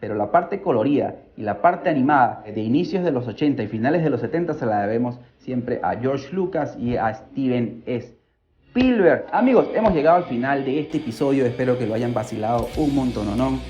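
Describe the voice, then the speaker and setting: A man's voice: mid-range voice, animated, moderate pace, darkish tone, soft background music. A man with a Peruvian accent hosting a podcast, telling a story in a colloquial, dramatic way with rhetorical questions. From Peru. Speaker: podcast host. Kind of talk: animated storytelling podcast narration.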